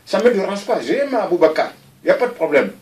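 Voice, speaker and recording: Animated man, rambling, voice swinging up and down. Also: sits high, fast, roomy sound, far from the mic. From Mali. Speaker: interviewee. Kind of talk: recorded video interview.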